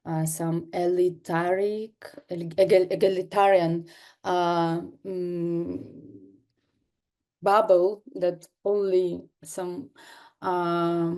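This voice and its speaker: A young adult woman from northern Kazakhstan, a native Kazakh speaker, giving a seminar lecture over a video call, halting with many fillers and restarts. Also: lowish voice, level tone, darkish tone. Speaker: guest lecturer, artist. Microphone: computer mic on video call.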